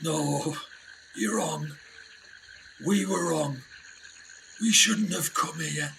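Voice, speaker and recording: Strained, high-pitched hot-headed explorer. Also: male voice, drawn-out words, vocal fry, background noise, exaggerated voice. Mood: weak, suffering. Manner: strained, melodramatic. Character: hot-headed, brave British male explorer sidekick. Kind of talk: radio play acting.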